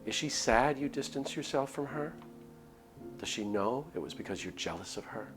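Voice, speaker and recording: A man's voice: mid-range voice, lively intonation, moderate pace. A man streaming a visual novel, reading the narration aloud expressively with casual asides. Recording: music under the voice. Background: game background music.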